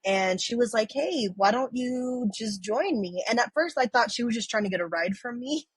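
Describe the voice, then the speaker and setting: A woman's voice: fairly high pitch, lively intonation, moderate pace, husky, dry recording. A woman in her thirties from San Francisco, a guest on a podcast, who tells stories in a rambling way full of fillers, restarts and casual swearing. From San Francisco, USA. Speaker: podcast guest and community organizer. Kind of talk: casual storytelling chat.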